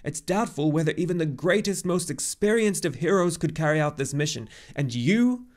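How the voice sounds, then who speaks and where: A man's voice: medium pitch, normal speed, darkish tone, close to an everyday voice. A man voicing a very old, grave centaur in an audiobook story, with an emphatic, exclamatory manner. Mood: agitated, indignant. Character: very old agitated centaur messenger, grave and weary.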